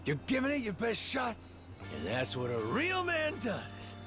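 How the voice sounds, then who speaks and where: A man's voice: sits high, wildly swooping pitch, measured pace, full character voice. A man playing a brash, playful middle-aged pirate captain with a folksy, slangy drawl in a video game. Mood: amused, hearty. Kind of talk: video game cutscene acting. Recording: loud music behind the voice.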